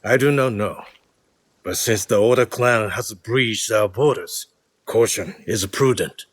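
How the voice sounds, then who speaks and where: An actor playing a grave, battle-hardened older samurai lord in a video game, speaking with measured authority. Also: male voice, fairly low pitch, husky, no echo. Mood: grave, commanding. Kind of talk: video game cutscene acting.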